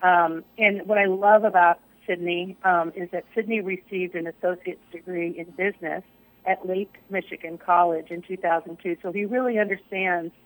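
A woman's voice: lowish voice, steady tone, moderate pace, no echo, low-quality recording. A woman, a college chancellor, talking casually on a radio show with lots of ums while losing her voice. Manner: upbeat, many fillers.

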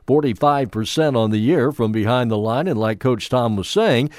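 A man's voice: mid-range voice, normal speed, darkish tone, no room sound. Sixties male sports radio reporter with a conversational read and a dry, close sound. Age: 60s. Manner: conversational, with small hesitations.

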